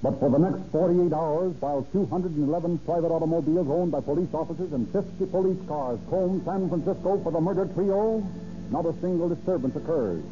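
A man's voice: on the high side, brisk, natural voice. A man narrating a crime story in a dramatic, scripted 1930s radio style. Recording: muffled old radio recording. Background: music.

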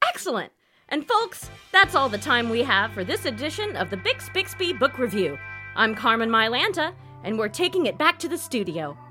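A perky radio book show host played by a woman gushes in a very high, bright voice. She is eager and animated, in scripted comedy style. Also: moderate pace, faint music behind. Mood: perky sign-off.

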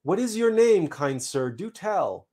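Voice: mid-range voice, big pitch swings, measured pace, warm tone, pitched above the performer's own voice. A performer voicing a bewildered, gloomy seed who pleads courteously in archaic rhyming verse. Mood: pleading. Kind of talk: storybook verse recital.

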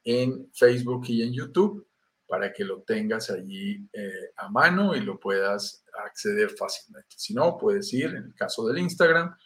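A man's voice: lowish voice, a little slow, a bit bright. A middle-aged man with a Colombian accent, presenting a live online talk on property investment, explaining step by step to the viewer with frequent "eh" fillers. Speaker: real estate investment presenter.

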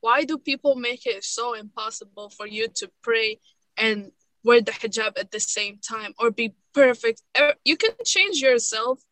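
A woman's voice: fairly high pitch, moderate pace, fairly bright tone, dry recording, poor audio. A young woman from a Moroccan family in the United States, speaking as a guest in an earnest, emphatic, casual way. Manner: earnest, emphatic, laughs often. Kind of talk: casual chat.

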